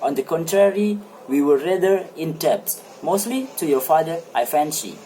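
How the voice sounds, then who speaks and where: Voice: mid-range voice, lively intonation, normal speed, warm tone, a little hiss or hum, lightly acted, poor audio. An amateur reader playing a talkative, self-satisfied married man in a stage play, with eager, formal phrasing. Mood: earnest, explaining. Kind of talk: amateur dramatic play reading.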